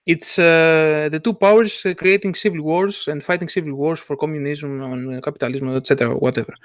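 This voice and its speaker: Halting man, dry sound. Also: on the high side, fairly even intonation, no room sound. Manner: halting, many restarts and fillers. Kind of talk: casual chat.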